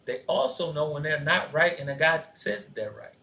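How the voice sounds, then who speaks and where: Man with a high voice and a goofy laugh, podcast cohost getting worked up, echoey room. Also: normal speed. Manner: animated, laughs often, gets loud when making a point. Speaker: podcast co-host. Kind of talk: casual reaction podcast chat. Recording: roomy, dull lo-fi sound.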